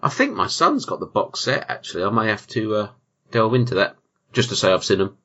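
A British man with a bright, forceful voice hosts a casual film podcast. He banters in a dry, jokey way and swears freely. The audio is slightly dull and lo-fi. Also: lowish voice, very animated, brisk. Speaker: podcast host.

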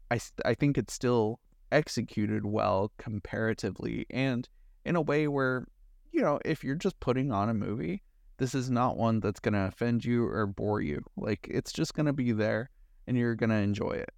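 A man's voice: mid-range voice, moderate pace, vocal fry, darkish tone, no echo. A man co-hosting a podcast, talking through movies in a casual, conversational and analytical way. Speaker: podcast co-host.

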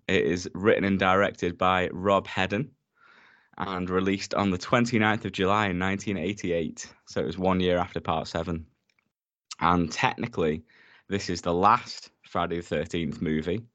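Sick man with a very low voice. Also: normal speed, no echo. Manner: steady, presents facts and synopsis methodically. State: sick, voice rough and deeper than usual. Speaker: podcast co-host. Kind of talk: casual chat.

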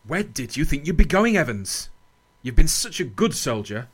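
A man playing a loyal, principled army captain in a radio play, earnest, measured and firm. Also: on the high side, big pitch swings, fairly bright tone, husky, heavily acted. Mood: respectful, puzzled. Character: loyal, principled British army captain defending young soldiers. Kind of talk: radio drama acting and narration.

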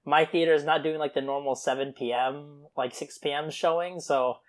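A man's voice: on the high side, moderate pace. A young man co-hosting a pop culture podcast, chatting casually and filling gaps with uh and um. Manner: relaxed, lots of uh and um fillers. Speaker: podcast co-host. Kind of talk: casual chat.

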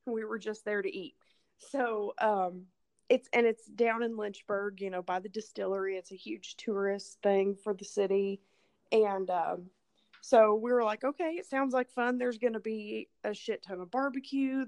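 A hoarse woman, casually telling a rambling, profane story as podcast host. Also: medium pitch, fairly even intonation, a little slow, a little mellow. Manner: rambling, swearing, laughing storyteller. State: hoarse, losing her voice from illness.

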